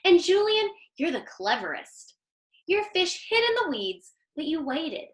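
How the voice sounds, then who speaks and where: Gentle high-voiced storybook mama, read by a woman. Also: big pitch swings, unhurried, echoey room, heavily acted. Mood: admiring. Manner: tender, admiring. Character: gentle, loving mother praising her young sons.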